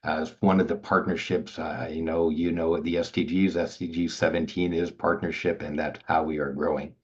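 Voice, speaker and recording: Older man with a very deep voice on a dull call. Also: level tone, moderate pace. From Montreal, Canada. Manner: loose, chatty, greeting people by name. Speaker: organization founder and webinar co-host. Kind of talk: casual webinar chat. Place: home, on a video call. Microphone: video call laptop mic. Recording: dull, lo-fi video call audio.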